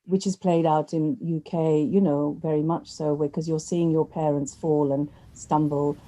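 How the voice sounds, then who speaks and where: Fields: Woman. Role: actress interviewee. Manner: warm chatty, mellow voice. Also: low voice, flat delivery, moderate pace, low-quality recording. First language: not Urdu. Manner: chatty, many "you know" fillers. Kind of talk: conversational interview.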